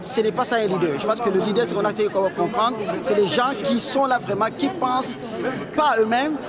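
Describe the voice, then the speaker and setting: A man in a high register gives earnest, halting remarks at an outdoor community gathering. A loud crowd fills the space around him throughout. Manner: measured, hesitant with many fillers. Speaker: interviewee at community event. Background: loud crowd chatter.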